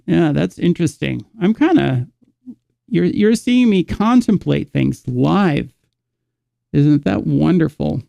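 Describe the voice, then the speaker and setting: A man's voice: on the high side, animated, takes it slowly, gravelly, dark tone, no echo. A man from the Netherlands, teaching a live guitar lesson and rambling as he thinks aloud, stopping to restart his sentences.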